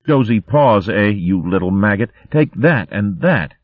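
A man's voice: lowish voice, expressive, measured pace, dry recording, narrow, tinny audio, voice pushed up. A man voicing an eager young female hedgehog in a storybook reading. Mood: lively.

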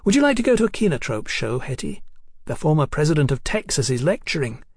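A man voicing a wary, sharp-tongued young Victorian street woman in an audiobook, pert and questioning. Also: a little high, big pitch swings, fast, slightly rough, dry recording, studio quality, voice pushed up, exaggerated voice. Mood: casual.

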